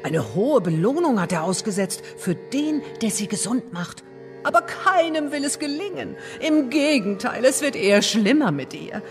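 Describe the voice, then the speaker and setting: An animated, high-voiced court gossip. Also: female voice, moderate pace, music in the background. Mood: worried. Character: gossiping woman of the royal court, concerned.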